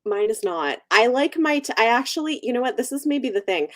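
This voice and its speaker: A woman's voice: on the high side, animated, a little fast, fairly bright tone. A woman chatting casually and informally as a livestream host, enthusiastic about what she likes. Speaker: book channel livestream host. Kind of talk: casual chat.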